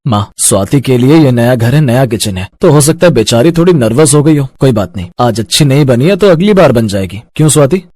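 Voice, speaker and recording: A man's voice: medium pitch, lively intonation, fairly quick, hardly any pauses, no room sound, close to an everyday voice. A man voicing a kindly, food-loving young groom in a cartoon, polite and reassuring. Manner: polite, gentle, earnest.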